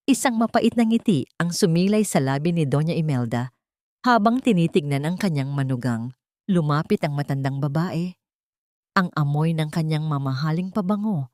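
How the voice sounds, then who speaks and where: A woman with a fairly low voice narrates dramatic fiction. Her delivery is solemn and melodramatic, in a scripted storytelling style. The recording is dry and close, with very little room sound. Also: darkish tone, no room sound. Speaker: audiobook narrator.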